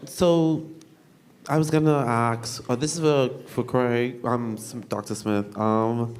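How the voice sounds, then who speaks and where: Man, audience question, halting, many restarts. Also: medium pitch, fairly even intonation, darkish tone. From Bahamas. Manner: halting, full of restarts and fillers. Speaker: audience member asking questions. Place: university lecture hall.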